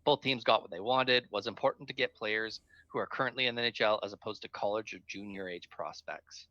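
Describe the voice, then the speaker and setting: Bright-voiced man reading hockey notes. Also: on the low side, brisk, no echo, lo-fi sound. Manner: chatty, reads bullet notes aloud then comments. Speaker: co-host. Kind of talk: casual podcast chat, reading news notes.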